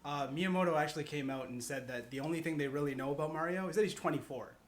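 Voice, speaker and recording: A man's voice: on the high side, fast. A man chatting casually as a podcast guest, explaining his ideas in a rambling, conversational way. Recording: clear background noise.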